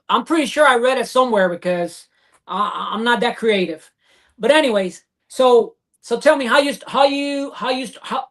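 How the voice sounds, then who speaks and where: A man hosting an online interview show over a video call, effusive and halting with many fillers and restarts. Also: sits high, fairly bright tone. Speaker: show host.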